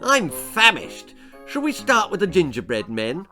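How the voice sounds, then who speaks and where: Posh storybook schoolboy, acted by a man: British, hearty, exclamatory, bright, swooping pitch. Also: huge pitch swings, unhurried, faint music behind, heavily acted. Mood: eager. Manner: plummy, exclamatory 1950s adventure-book chumminess. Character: hearty posh schoolboy older brother, breezily apologetic.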